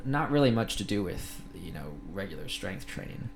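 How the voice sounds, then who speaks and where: A man in his twenties with an American accent, a podcast guest explaining things in a measured way with many fillers. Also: sits low, big pitch swings, faint music behind. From Filipino-American, raised in Thailand. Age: 20s. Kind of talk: casual interview. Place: podcast studio.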